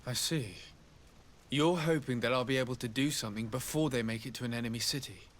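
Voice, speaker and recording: A man's voice: mid-range voice, natural voice. Male actor for young aristocratic diplomat, RPG hero. Mid-range male voice, polite and composed, formal phrasing. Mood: measured, inquiring. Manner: measured, courteous, formal. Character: polite young nobleman serving as diplomatic legate. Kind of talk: scripted fantasy video game dialogue.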